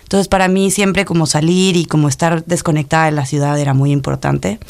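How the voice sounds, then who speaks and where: A woman from Mexico City with a Mexico City Spanish accent, speaking as an interview guest in a reflective, thoughtful way with many pauses and restarts. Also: deep voice, steady tone, creaky, darkish tone.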